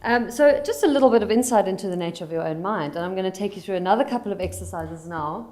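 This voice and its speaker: South African woman guiding a conference hall. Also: medium pitch. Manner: calm and guiding, slowing for instructions. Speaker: psychologist and mindfulness facilitator. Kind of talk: conference talk leading mindfulness exercises. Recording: roomy hall with faint ambience.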